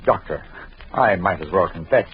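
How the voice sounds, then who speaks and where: A man's voice: low-pitched, expressive, moderate pace. An actor playing a nervous Frenchman who hides his lover, polite but evasive and flustered, in a 1940s radio drama. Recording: dull lo-fi old radio recording with faint hiss.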